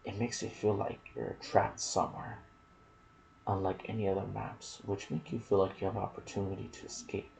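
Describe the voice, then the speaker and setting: A soft-spoken man hosting an ASMR video about game lore, with a hushed delivery that slips into whispers. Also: lowish voice, little pitch movement, moderate pace, creaky, low-quality recording. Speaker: video host and gaming content creator.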